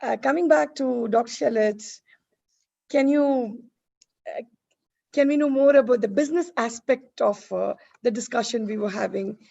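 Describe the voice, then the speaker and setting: A woman hosts an online panel with effusive politeness. Her voice is fairly high and mellow, with plenty of creak. The sound is slightly dull, like a video call. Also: normal speed. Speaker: panel host. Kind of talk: hosting an online panel discussion.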